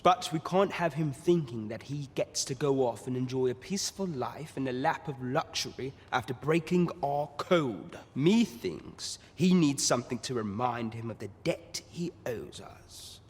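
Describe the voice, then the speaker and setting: An actor playing a wily, self-assured master of thieves in a video game, husky and speaking in sly, archaic turns of phrase. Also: male voice, mid-range voice, a little hiss or hum, lightly acted. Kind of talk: video game character dialogue. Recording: long reverberant hall echo.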